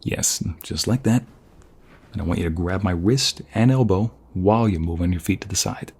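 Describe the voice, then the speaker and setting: A man playing a protective, flirtatious mobster husband in an ASMR roleplay, gravelly and husky, coaxing his partner with affection. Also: low-pitched, moderate pace, darkish tone. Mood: patient, instructing.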